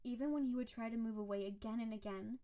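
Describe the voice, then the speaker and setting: A woman playing a doting, possessive snow-spirit wife in an intimate roleplay audio, speaking to her beloved in a sweet, teasing, clingy way with soft menace. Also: on the high side, steady tone, fast, natural voice. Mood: dreamy, obsessive. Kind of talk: intimate scripted roleplay audio addressed to the listener.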